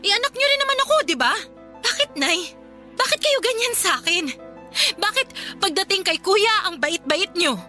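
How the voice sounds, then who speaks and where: Aggrieved caregiver daughter played by an actress, high and sharp, pleading, emotional radio drama. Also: female voice, animated, normal speed, full character voice. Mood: hurt, pleading. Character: hurt, unloved adult daughter caring for ailing mother. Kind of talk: Tagalog radio drama acting. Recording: music bed behind the voice.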